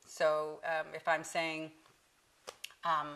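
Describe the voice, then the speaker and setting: A middle-aged woman speaks in a lowish register with a bright, forceful edge. She explains pronunciation like a patient teacher and sounds out examples as she goes. The tone is that of a relaxed interview. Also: very slow, slight background noise. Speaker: accent coach.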